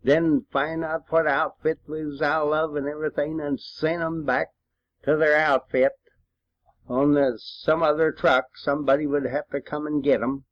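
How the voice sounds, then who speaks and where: A man's voice: fairly high pitch, flat delivery. An elderly man with a rural American accent, a war veteran reminiscing informally in a folksy, rambling way. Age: 80s or older. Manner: folksy, rambling storytelling with frequent throat-clearing pauses. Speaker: war veteran telling his memories. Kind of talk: informal oral-history reminiscence. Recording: dull, lo-fi home recording.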